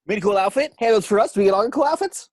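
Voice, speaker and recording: A performer playing an excitable, boastful young boy superhero with a husky voice in an amateur table read. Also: mid-range voice, very fast, no echo, clean studio sound, close to an everyday voice. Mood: eager, curious.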